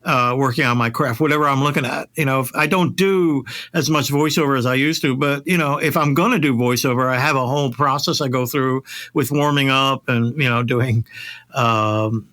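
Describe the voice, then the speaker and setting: A man's voice: medium pitch, moderate pace, sharp tone, dry recording. Rambling, self-deprecating male podcast host. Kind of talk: casual podcast talk.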